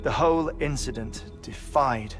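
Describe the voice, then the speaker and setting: An actor playing a middle-aged scientist haunted by loss, speaking in a measured, articulate way in a dramatic game cutscene. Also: male voice, medium pitch, music in the background, close to an everyday voice. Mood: grave, earnest.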